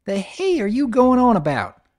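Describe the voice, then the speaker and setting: A woman's voice: a little high, huge pitch swings, normal speed, a little mellow, pitched above the performer's own voice. A woman voicing a plucky young country filly who speaks bluntly, with folksy slang. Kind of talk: dramatic audiobook reading.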